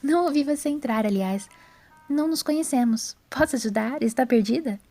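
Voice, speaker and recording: Woman playing a prim, soft-toned young lady. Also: high-pitched, expressive, brisk, pitched above the performer's own voice. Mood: politely puzzled. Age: young adult. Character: prim, self-assured young woman living alone. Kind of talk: audio drama character voice.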